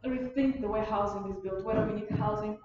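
A young woman from Bulgaria giving an informal lecture in a halting, rephrasing, digressive way. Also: medium pitch, steady tone. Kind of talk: informal lecture and discussion of questions. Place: university lecture room. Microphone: far camera. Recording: distant mic, muffled, echoey room, loud noise.

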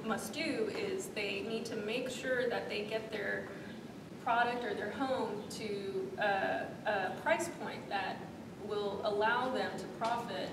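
Woman, 30s, founder guest; thoughtful, halting delivery; distant, roomy office recording. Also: mid-range voice, background noise. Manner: thoughtful, many fillers and restarts. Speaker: startup founder, interview guest. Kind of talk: onstage interview. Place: office meeting room, seated in armchair. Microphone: far room camera. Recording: echoey room audio from far off.

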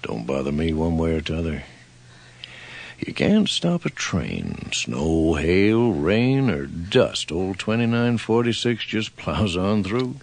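A man's voice: big pitch swings, slow pace, full character voice. An actor playing a sinister old railroad man in a radio horror drama, with a folksy rustic drawl and sly, bargaining manner. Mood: folksy, unbothered. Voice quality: very deep, gravelly.

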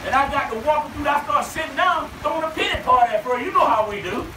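A distant, echoey recording of a male preacher at a tent revival. He has a Southern American accent and a very high-pitched, exhorting delivery, throwing short calls out to the congregation as he goes. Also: animated, quick pace, noisy background. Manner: exhorting, shouting, repeated short interjections to the congregation. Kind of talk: fervent revival sermon. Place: outdoor revival tent at night, before a seated audience. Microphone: handheld mic, filmed from the audience.

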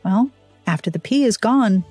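A woman's voice: medium pitch, lively intonation, normal speed, darkish tone, husky, no echo, faint music behind. A woman hosting a podcast in a casual, conversational style. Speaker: podcast host.

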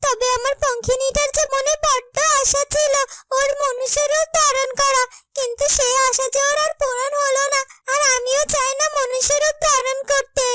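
Fairy-tale serpent sister: extremely high, small, earnest, melodramatic, music behind. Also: female voice, flat delivery, normal speed. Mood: grieving. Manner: earnest, melodramatic, repeats words. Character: small grieving female serpent, devoted sister. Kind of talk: fairy-tale cartoon voice acting.

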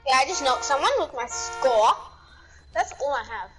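A streamer chatting with teammates and making excited callouts during an online match. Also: female voice, high voice, normal speed, over music, poor audio. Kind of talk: casual game chat.

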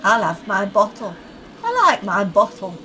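A woman's voice: medium pitch, normal speed, sharp tone, close to an everyday voice, poor audio. A woman playing a tired, wryly tolerant football supporter's wife chatting in a radio play. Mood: weary. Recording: faint train noise behind.

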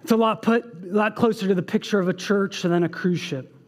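A man in his thirties delivers a sermon from a church stage in a fairly high voice. He speaks earnestly, reading a passage aloud and breaking off for casual asides. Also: brisk. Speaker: pastor. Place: church stage with band behind.

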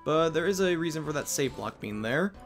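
A man hosting a let's play, giving chatty, self-mocking commentary with quick asides. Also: fairly high pitch, expressive, soft background music. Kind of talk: casual game commentary.

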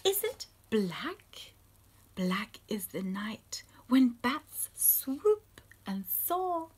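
A woman in her forties reading a picture book aloud to young children, putting simple questions to them in an animated way. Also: on the high side, drawn-out words, slightly rough. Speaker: children's storytime host and reader. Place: small room at home, indoors.

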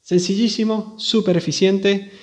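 A man's voice: sits high, fairly even intonation, measured pace, a little mellow. A man presenting a casual hands-on tech demonstration, talking informally and directly to viewers. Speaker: video host demonstrating radio gear.

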